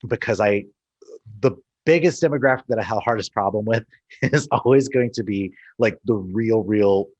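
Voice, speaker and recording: A young man from El Paso, a stand-up comedian, chatting casually over a video call and telling animated stories. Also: lowish voice, moderate pace, highs cut off. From El Paso, Texas. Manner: animated storyteller, slips into Spanish phrases.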